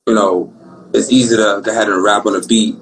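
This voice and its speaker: A young man with a Brooklyn accent, an R&B singer talking about his life in a relaxed, rambling, slangy way. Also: on the low side, brisk, slight background noise, rough recording. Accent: Brooklyn New York. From Brooklyn, New York. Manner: laid-back, rambling, slangy. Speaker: singer guest. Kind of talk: casual interview talk.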